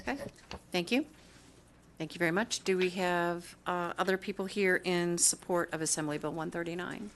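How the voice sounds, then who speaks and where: A woman chairing a legislative committee hearing, calling on speakers in a brisk, procedural way. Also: lowish voice, steady tone, slight background noise.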